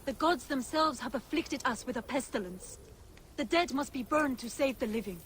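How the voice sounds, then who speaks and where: An actress playing a weary, sorrowful poor villager in a video game, plaintive and humble. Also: female voice, high-pitched, normal speed, fairly bright tone, a little hiss or hum. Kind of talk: video game character acting.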